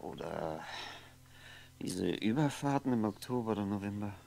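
An actor playing a lonely, wistful, sardonic drinker in a 1960s radio play monologue, halting and musing, repeating words and trailing off. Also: male voice, fairly low pitch, animated, creaky, mellow, a little hiss or hum. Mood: drowsy, wistful. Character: lonely drinking man, wistful, sardonic, brooding over memories.

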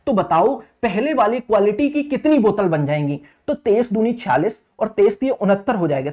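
A man's voice: very high voice, darkish tone. A man in his thirties teaching exam maths step by step, repeating points and checking that listeners follow. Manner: instructive, repetitive, often addresses listeners as friends and checks understanding. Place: indoor teaching studio at a digital board.

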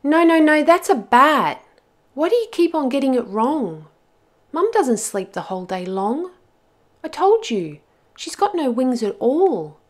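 A woman voicing a lost little monkey child in a storybook, pleading and exasperated, with emphatic, drawn-out words. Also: high voice, big pitch swings, voice pushed up.

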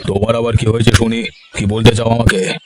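A man's voice: mid-range voice, level tone, fairly quick, close to an everyday voice. A man playing a haughty village landlord in a cartoon, commanding and scornful in his dramatic dialogue. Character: stern, haughty village landlord, quick to condemn. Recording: music behind the voice.

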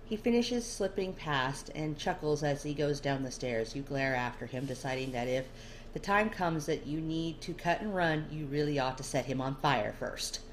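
A woman's voice: low voice, lively intonation, moderate pace, rough recording. A woman narrating a scripted story in the second person, as an amateur reader of fiction. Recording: clear background noise.

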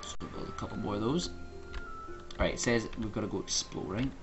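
Low-voiced man commenting over loud game music. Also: a little fast. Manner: muttering puzzled asides as he plays. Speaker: gaming video host. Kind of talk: casual gameplay commentary. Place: indoor room at a computer. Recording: dull mic with loud game music behind. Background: game music, keyboard clicks, game voices.

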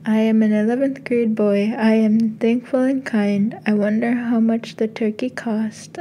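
Woman reading a poem, soft mellow voice, pretty monotone, bit of background noise. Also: a little high, low-quality recording. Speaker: poem reader. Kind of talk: reading repetitive poem aloud.

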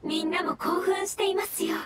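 A woman's voice: extremely high pitch, steady tone, moderate pace, a bit bright, faint music behind. A woman voicing a polite, devoted mushroom creature in an anime game, speaking formally and earnestly. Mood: hopeful. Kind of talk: Japanese anime game voice acting.

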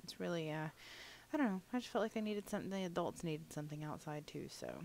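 A woman's voice: on the low side, fast, a little mellow, a little hiss or hum. A young adult woman giving a rambling, casual voiceover for a building game, a little sniffly, with lots of fillers. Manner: rambling, chatty, many fillers. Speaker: gaming channel host.

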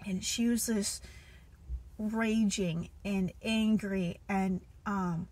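A woman's voice: medium pitch, fairly even intonation, extremely slow, darkish tone, slightly rough, low-quality recording. A woman in her thirties earnestly recounting a spiritual dream, with many fillers and restarts, filmed on a phone at arm's length in a car. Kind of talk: casual testimony and Bible reading. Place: inside a parked car. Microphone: phone held at arm's length.

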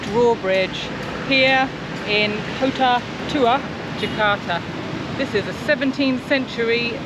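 A woman's voice: on the high side, takes it slowly. A woman in her forties giving casual travel commentary outdoors as a vlogger. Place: outdoors on streets and at marina. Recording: noisy outdoor recording with street and vehicle sounds.

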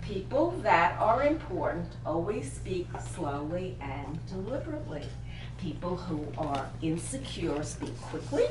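A woman's voice: lowish voice, very animated, measured pace. An older woman with a Brooklyn accent presenting an image workshop to a small group, emphatic and punchy, firing direct questions at her audience. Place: boutique shop floor, indoors. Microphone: far camera, no visible mic. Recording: roomy, distant camera audio with background noise.

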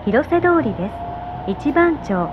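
高めの声の女性の車内放送. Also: female voice, high voice, sing-song. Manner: careful, measured station-name enunciation. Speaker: subway train announcer. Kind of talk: scripted transit announcements, polite and formal. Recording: dull in-car speaker recording, loud train noise. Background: running subway train noise.

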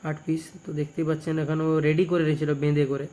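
A young man with a West Bengal Bengali accent, casually presenting repair work in a halting, repetitive way, phrase by phrase. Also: fairly high pitch, flat delivery, warm tone. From West Bengal, India. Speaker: speaker repair technician and video presenter. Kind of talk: casual product showcase narration.

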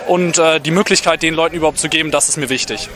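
A twenty-year-old man from Germany talks very quickly and earnestly. His voice is slightly high, in a casual interview out in a park. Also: fairly even intonation, bright, forceful tone. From Hamburg, Germany. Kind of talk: casual street interview answer. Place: open grassy park, outdoors.

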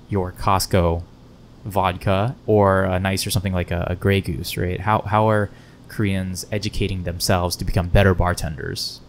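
A man hosting a casual podcast interview, speaking into a close microphone in a bar lounge. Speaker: podcast host. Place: wood-panelled bar lounge, indoors. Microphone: close podcast microphone on stand. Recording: low rumble and faint room noise.

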